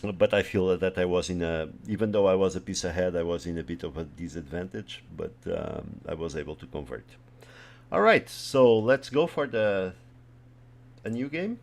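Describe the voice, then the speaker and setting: A man born in Portugal whose first language is Portuguese, casually commenting on his own chess game as he streams it, thinking aloud and trailing off into quiet asides. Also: low-pitched, animated, normal speed.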